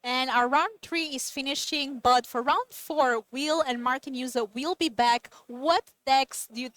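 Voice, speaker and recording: A woman's voice: high voice, a little slow, a bit bright, no room sound. A woman whose first language is Portuguese, co-hosting a live stream and chatting upbeat with viewers. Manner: upbeat, talks to chat. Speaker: event co-host. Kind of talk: casual live stream chat.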